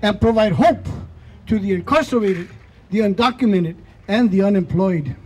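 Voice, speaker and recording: A man leading a solemn outdoor prayer in a slow, measured way, speaking into a handheld mic. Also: high voice, slightly rough. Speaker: prayer leader. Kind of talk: spoken prayer. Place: outdoors in a park.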